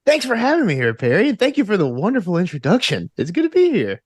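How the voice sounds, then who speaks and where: A man from Alabama chatting casually as an interview guest, in a playful, animated way. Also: high-pitched, quick pace, breathy edge, no room sound, clean studio sound. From Alabama, United States. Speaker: podcast guest, actor and gamer.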